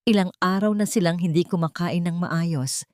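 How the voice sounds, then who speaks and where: A woman's voice: lowish voice, moderate pace, a little mellow, dry recording, clean studio sound, natural voice. Cold, suspicious father voiced by a woman narrator. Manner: cold, clipped questioning. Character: troubled cold-voiced billionaire father, a man growing suspicious.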